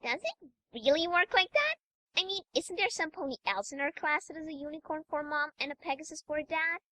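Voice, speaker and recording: Woman voicing a high, bright, eager unicorn filly. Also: lively intonation, fairly quick. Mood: curious. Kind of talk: fan audiobook character acting.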